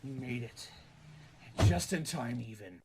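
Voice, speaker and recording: A man's voice: mid-range voice, lively intonation, takes it slowly, heavy background noise. A man voicing an enthusiastic male traveler in an amateur cartoon. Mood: eager.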